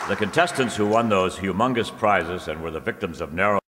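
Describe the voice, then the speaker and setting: A man's voice: low-pitched, a little fast, a loud crowd. A man working as a show announcer, reading scripted closing credits. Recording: echoey hall, audience and clear background noise.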